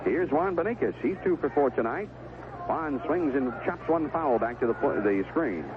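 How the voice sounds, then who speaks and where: An American man calling baseball play-by-play on the radio, in clipped phrases with conversational asides between pitches. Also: animated, normal speed. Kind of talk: live sports commentary. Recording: vintage 1970s AM radio broadcast, tinny, noisy.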